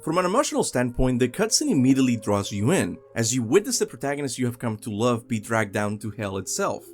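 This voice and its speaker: A man's voice: mid-range voice, very animated, quick pace. A young man narrating a scripted video game countdown as host, with music behind him.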